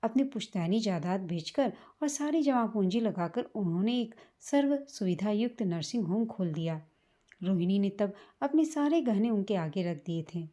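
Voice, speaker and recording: A woman reading a scripted, sentimental family story as a storyteller, in a measured manner. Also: medium pitch, animated, mellow. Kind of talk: scripted emotional story narration in Hindi.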